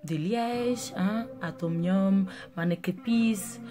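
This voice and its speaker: A woman's voice: medium pitch, slow, warm tone. A young woman from Angola whose first languages are Dutch and Portuguese, chatting casually and rambling with many fillers as a makeup artist vlogger. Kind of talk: casual chat to camera. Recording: music playing under the voice.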